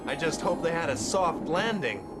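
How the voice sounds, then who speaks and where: A woman voicing a sensible, gently teasing adventurer in a children's cartoon. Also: sits low, expressive, moderate pace. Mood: cautioning, concerned. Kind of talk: animated children's cartoon acting. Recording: cartoon soundtrack with loud music.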